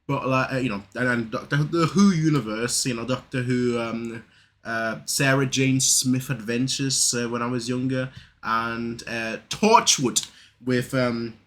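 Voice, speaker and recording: A young man in his late twenties with a northern English accent, a mix of Manchester and Lincoln with a faint German trace, talks loosely and casually. His voice is bright and forward, and he meanders with fillers and restarts. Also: mid-range voice, fairly even intonation, a little slow, slight background noise. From south Germany. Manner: rambling, many fillers and restarts. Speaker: reaction video host. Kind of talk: casual reaction commentary.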